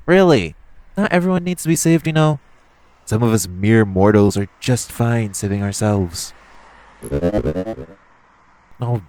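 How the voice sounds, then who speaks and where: Man acting a cheeky, swooping flirty supervillain. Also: fairly low pitch. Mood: exasperated. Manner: teasing, mock-polite, expressive. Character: flirty, cheeky, cocky male supervillain thief. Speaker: audio roleplay performer. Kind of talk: theatrical audio roleplay, one-sided dialogue to the listener.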